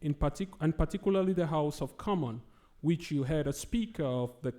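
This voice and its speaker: A man's voice: fairly high pitch, fairly quick, warm tone. A young adult man, a student, asking a formally worded question from the audience at a public forum.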